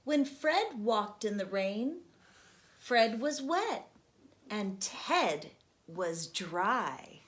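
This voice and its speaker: A young adult woman, host of a storytime channel, reading a children's book aloud slowly and gently. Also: mid-range voice, sing-song, a little hiss or hum. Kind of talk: children's read-aloud narration.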